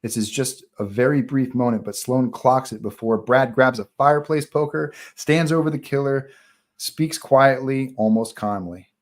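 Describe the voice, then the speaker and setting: A man's voice: mid-range voice, moderate pace. A man narrating the stage directions of a horror script at a live online table read. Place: online group call.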